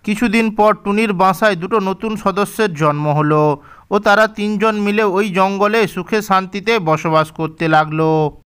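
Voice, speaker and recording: A man telling a cartoon fairy tale in a measured, gently didactic way. His voice sits high for a man and comes across smooth and resonant. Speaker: storyteller. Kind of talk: scripted storybook narration.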